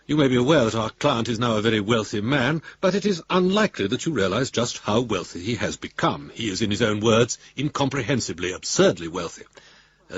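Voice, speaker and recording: An actor playing a pompous senior lawyer in a radio drama, reading a formal, wordy letter in a measured way. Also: male voice, on the low side, breathy edge, dry recording. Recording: dull lo-fi radio recording.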